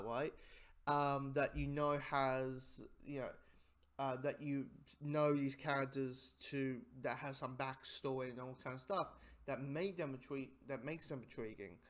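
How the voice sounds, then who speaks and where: A man giving a casual spoken review in a rambling, halting way, often repeating his phrases. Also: mid-range voice.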